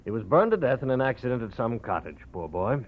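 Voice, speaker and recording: A man's voice: mid-range voice, sing-song, fast talker. An actor playing a cool, mocking male nightclub gangster in a 1940s radio drama. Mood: cold. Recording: tinny phone-like old radio recording.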